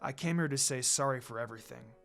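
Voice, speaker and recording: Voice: mid-range voice, quick pace, vocal fry, fairly bright tone, soft background music, pitched below the performer's own voice. A reader voicing a tall young male demon who is apologetic, earnest and pleading.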